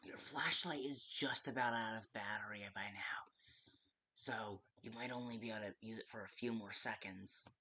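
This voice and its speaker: A performer playing a hesitant, nervous guide in a game, giving survival instructions in a halting, often hushed voice. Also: mid-range voice, slightly rough. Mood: hesitant, cautioning. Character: hesitant, nervous guide talking a night-shift survivor through danger. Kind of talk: game tutorial monologue.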